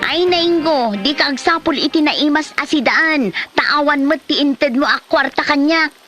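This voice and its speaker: A woman's voice: sits high, unhurried, over music. A woman playing an exasperated wife in a comedy drama, nagging and complaining at her husband. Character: exasperated, scolding wife of a lazy drinker. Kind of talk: Ilocano comedy drama acting.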